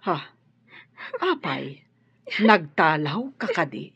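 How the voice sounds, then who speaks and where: A woman playing a kindly, concerned helper in a radio drama, with a husky voice. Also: sits low, lively intonation, slow pace, a bit bright, full character voice. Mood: concerned, questioning. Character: concerned, kindly woman caring for an injured girl. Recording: dull, muffled studio sound.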